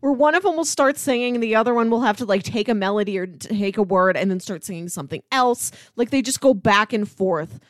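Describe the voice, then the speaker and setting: A woman co-hosting a podcast in casual chat, telling things in an animated way and often laughing as she talks. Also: medium pitch, brisk, few pauses, dry recording, clean studio sound. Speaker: podcast co-host.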